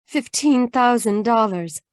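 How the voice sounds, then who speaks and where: A woman's voice: a little high, lively intonation, slow, no room sound, clean studio sound. An actress playing a proud, troubled married woman with secrets in a noir radio drama, with an emotional, haughty delivery. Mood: guarded. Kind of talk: noir radio-play acting.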